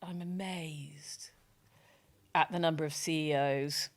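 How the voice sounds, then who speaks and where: A woman with a loud voice speaking frankly and emphatically as a brand strategy guest in a podcast interview, often restarting her sentences. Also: low voice, steady tone, takes it slowly. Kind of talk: candid podcast interview talk.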